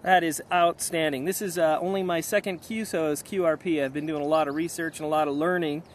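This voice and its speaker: A man in a casual hands-on demonstration, talking in an unhurried, explanatory way as an amateur radio operator outdoors. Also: fairly high pitch, fairly even intonation. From United States. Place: outdoors on a mountainside. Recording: noisy outdoor camera recording. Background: outdoor ambient noise.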